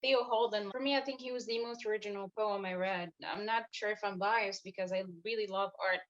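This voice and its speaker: A woman's voice: mid-range voice, fairly even intonation, a little fast, lo-fi sound. A young woman from Algeria, a student judge, answering interview questions casually and enthusiastically with many fillers.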